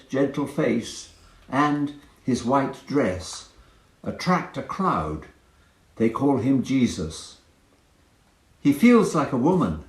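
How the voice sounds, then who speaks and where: A man reading poetry aloud in a measured, dramatic storytelling way. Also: medium pitch, a little mellow. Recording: roomy, echoing sound.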